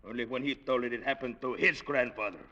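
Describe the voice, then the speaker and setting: Sharp, intense young peasant man, played by an actor. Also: mid-range voice, quick pace, close to an everyday voice. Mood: scornful. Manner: intense, blunt. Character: defiant, brooding young peasant son, haunted former prisoner. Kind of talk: 1940s radio drama acting. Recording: dull vintage radio recording.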